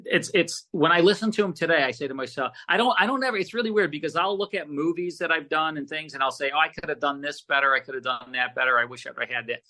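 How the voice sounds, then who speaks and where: Bright, forceful American man in conversation. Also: a little high, fast, few pauses. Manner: earnest, emphatic, repeats phrases. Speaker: podcast guest, radio host and producer. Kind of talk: casual conversational interview answers.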